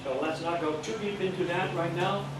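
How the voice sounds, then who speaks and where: An older man with a Dutch accent teaches an electronics class in a patient, step-by-step way, checking in with the room. His voice sits a little high for a man. It is picked up from across a very echoey room, with a clear hiss of background noise. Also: steady tone, brisk. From Netherlands. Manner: plain, patient explaining with jokes and check-ins. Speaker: amateur radio course instructor. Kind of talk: classroom lecture. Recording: echoey room from far off, noisy.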